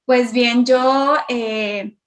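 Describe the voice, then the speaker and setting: A woman with a northern Mexican accent giving a personal testimony as an interview guest on a video call, with hesitant pauses. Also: on the high side, steady tone. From Matamoros, northern Mexico. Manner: hesitant, pausing. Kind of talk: personal testimony, conversational storytelling.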